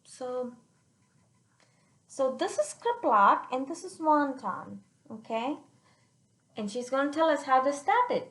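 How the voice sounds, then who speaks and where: A woman reading a picture book aloud to children, pausing to explain it simply and check they follow, in a roomy recording. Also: sits high. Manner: animated, checks understanding with listeners.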